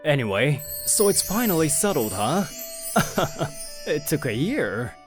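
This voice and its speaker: A man narrating as a young company president, telling the story in a lively way. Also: a little high, takes it slowly, faint music behind. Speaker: narrator and lead figure. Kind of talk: manga video narration.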